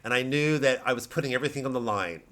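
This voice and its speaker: A male comic playing an earnest tech-company whistleblower, speaking solemnly and deliberately with deadpan absurdity. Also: medium pitch, lightly acted. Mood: solemn pride. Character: earnest American tech-company whistleblower with grandiose nonsensical résumé.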